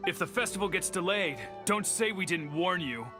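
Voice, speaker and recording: Irritable male samurai, played by an actor for an anime game: flustered, high-pitched, over background music. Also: normal speed, lightly acted. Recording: music behind the voice.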